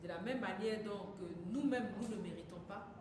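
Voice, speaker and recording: A woman interprets a sermon phrase by phrase, often correcting herself and filling gaps with hesitations. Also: mid-range voice. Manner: quick, self-correcting, hesitant fillers. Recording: echoey hall from far off, noisy.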